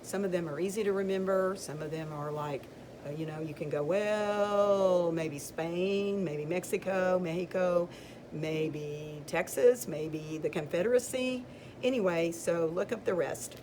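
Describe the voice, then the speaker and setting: An older woman with a lowish voice talks her way through a stack of books, chatty and rambling. She stops now and then to read a passage aloud with emphasis. A steady hiss of background noise sits under her voice. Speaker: video creator showing books. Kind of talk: casual show-and-tell of books.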